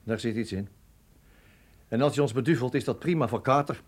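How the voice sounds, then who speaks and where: A man playing a blunt, irritable army colonel in a radio drama, gruff and grumbling. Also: medium pitch, brisk, close to an everyday voice. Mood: irritated, grumbling. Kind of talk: Dutch radio drama acting.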